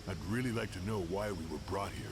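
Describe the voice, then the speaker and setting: Gruff, low, creaky orc warrior. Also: male voice, fast talker, background noise. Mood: uneasy, cynical. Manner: blunt, terse, cynical. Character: gruff, brooding, hot-tempered male orc warrior. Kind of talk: video game cutscene acting. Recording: loud outdoor ambience with insects.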